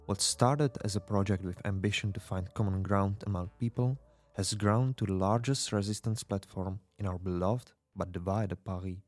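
A man's voice: low voice, moderate pace, a little mellow, lightly acted. A man playing an earnest rebel radio host in a dystopian drama, speaking solemnly and rallying the listener in a scripted, intimate address. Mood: earnest, welcoming.

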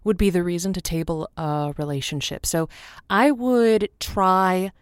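An actress playing a probing, sympathetic woman who hosts a radio advice show in an audio drama, coaxing callers in halting, folksy phrases. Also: fairly low pitch, smooth, dry recording, clean studio sound, lightly acted. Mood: sympathetic, advising. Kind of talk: semi-improvised call-in show drama.

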